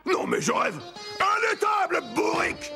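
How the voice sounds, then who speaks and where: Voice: very high-pitched, wildly swooping pitch, a little slow, creaky, exaggerated voice. An actor voicing an exasperated, scolding farmer in a French children's cartoon, with music playing behind. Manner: scolding, exclamatory. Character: exasperated grumpy farmer ordering his animals around.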